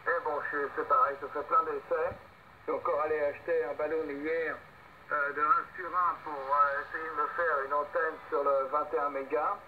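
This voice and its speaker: A tinny radio signal carries an older man with a slightly high voice. He chats casually as an amateur operator, in halting, hesitant phrases, with faint noise behind. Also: little pitch movement. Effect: two-way radio. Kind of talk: casual ham radio exchange. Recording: tinny noisy radio link.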